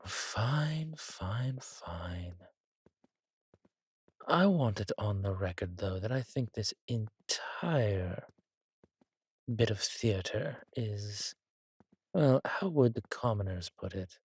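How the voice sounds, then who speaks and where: A man playing an arrogant, petulant villain king in an audio drama, with a drawling, theatrical, sardonic delivery and a breathy, husky voice. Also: lowish voice, lively intonation, takes it slowly, long pauses, no echo, full character voice. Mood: reluctant, coaxing, then petulant, indignant. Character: arrogant, petulant villain king, cruel yet doting on his consort.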